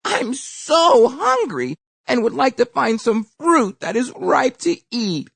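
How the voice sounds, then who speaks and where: A woman's voice: fairly high pitch, big pitch swings, vocal fry, slightly rough, dry recording, pitched above the performer's own voice, heavily acted. A woman acts out a greedy male trickster spider from a storybook. He pleads in a raised, wildly swooping voice and drags out his words with false sincerity. The sound is close and dry. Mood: wheedling, pleading. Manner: drawn-out, pleading, insincere delivery.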